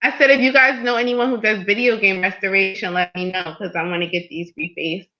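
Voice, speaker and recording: A woman's voice: mid-range voice, steady tone, a little fast. A woman from New Jersey chatting casually, heard through dull, limited audio. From New Jersey, USA. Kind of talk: casual chat.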